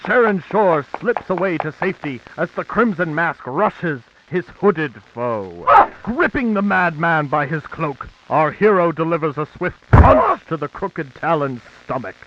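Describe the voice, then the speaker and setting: An earnest old-time radio announcer, declaiming a suspense serial. The voice is fairly high and animated. It comes through dull, with clear noise. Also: male voice, measured pace. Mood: excited. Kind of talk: dramatic scripted narration, 1940s radio.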